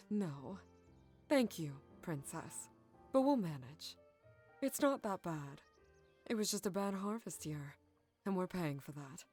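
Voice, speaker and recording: Weary proud mother voiced by a woman, unhurried and expressive, fantasy audiodrama with music underneath. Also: fairly low pitch, husky, exaggerated voice. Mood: weary, resigned. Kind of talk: audiodrama acting.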